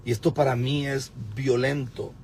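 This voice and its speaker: Fifties male preacher, gravelly, emphatic, streaming live from a car with some road noise. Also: medium pitch, little pitch movement, moderate pace, a little mellow. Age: 50s. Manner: emphatic, repeats words for stress. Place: in a car at a pay point.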